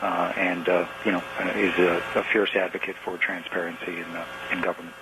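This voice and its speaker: Male political spokesman on a press call. Deep voice, halting delivery, clearly noisy phone line. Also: little pitch movement. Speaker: political campaign manager. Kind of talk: answering press questions on conference call. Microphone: telephone.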